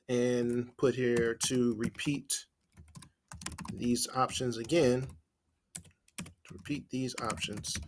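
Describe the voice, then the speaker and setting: A man with a medium-pitched voice leads an informal screen-share tutorial. He talks like a trainer, laying out each step and thinking aloud as he works. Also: a little hiss or hum. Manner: step-by-step, explanatory, thinking aloud while typing. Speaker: marketing trainer.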